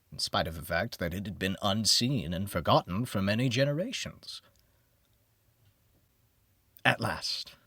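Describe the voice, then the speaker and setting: A man narrating a gothic horror story in the first person, with a measured, theatrical and formal literary delivery. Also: medium pitch, fairly bright tone, no room sound, studio quality. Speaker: audiobook narrator.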